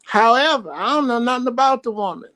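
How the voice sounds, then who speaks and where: A woman's voice: fairly high pitch, lively intonation, fairly quick, fairly bright tone, slight background noise. A woman calling in to a talk show, blunt and opinionated, with a teasing edge. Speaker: caller. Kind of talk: casual chat.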